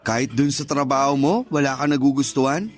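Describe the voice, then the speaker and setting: A man's voice: medium pitch, level tone, a little fast, faint music behind, close to an everyday voice. An actor playing a teasing, pushy married best friend in his thirties, with a Filipino accent, coaxing and joking in a radio drama. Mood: coaxing, nagging.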